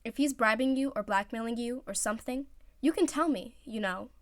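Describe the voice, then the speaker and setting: A woman's voice: fairly high pitch, a little fast, natural voice. Woman doing a grumpy, blunt teenage boy athlete. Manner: blunt, indignant.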